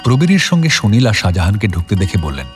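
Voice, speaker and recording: A man's voice: on the low side, big pitch swings, fast talker, soft background music. A man narrating a scripted audio story as the narrator of a drama.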